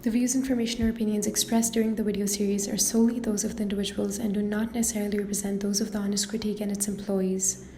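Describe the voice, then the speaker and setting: A woman reads a show's disclaimer in a quick, scripted way. The words ring out with a roomy echo. Her pitch is medium for a woman. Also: background noise. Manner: flat, scripted reading. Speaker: disclaimer announcer. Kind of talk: read disclaimer.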